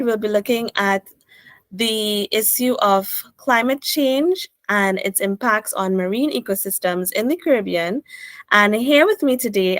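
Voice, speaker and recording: A woman's voice: medium pitch, no echo. A woman hosting a podcast interview, opening the episode from a script and encouraging her guest. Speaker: podcast host.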